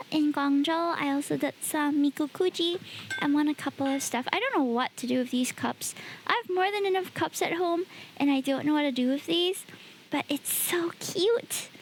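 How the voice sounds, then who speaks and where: A woman's voice: high voice, slight background noise. A woman, a bit sick and sniffly, chatting casually with her viewers as a streamer and laughing often. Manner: chatty, talks straight to chat, laughs often. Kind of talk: casual livestream chat with viewers.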